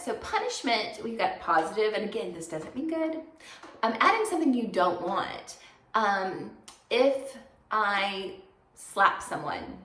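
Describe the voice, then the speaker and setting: A woman teaching a psychology lecture in a chatty, everyday way, slightly hoarse and raspy from congestion. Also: mid-range voice, a bit bright. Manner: conversational, many fillers, everyday examples. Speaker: psychology instructor. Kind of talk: recorded lecture. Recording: echoey room, faint noise.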